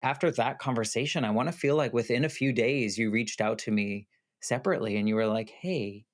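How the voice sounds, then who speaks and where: A man's voice: medium pitch, brisk. A man hosting a podcast interview, thanking his guest and posing loose, appreciative questions. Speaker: podcast host.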